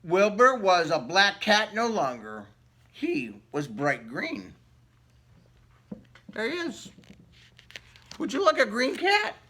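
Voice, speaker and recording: Man, animated storybook reader, very bright voice, unhurried pace, playful asides to young listeners. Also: high voice. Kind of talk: animated picture book read-aloud with asides to listeners.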